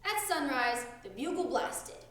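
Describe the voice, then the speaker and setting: Young woman reading a kids book, slow n super expressive, lots of room echo. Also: high voice. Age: young adult. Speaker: drama student reading aloud. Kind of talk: expressive children's storybook narration. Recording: very echoey room.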